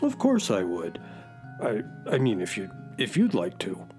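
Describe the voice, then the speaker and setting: Space horse companion for children's radio play: low, gentle, folksy, very varied intonation, music underneath. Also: female voice, wildly swooping pitch, measured pace, heavily acted. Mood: gentle, hesitant. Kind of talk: children's radio drama storytelling. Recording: music behind the voice.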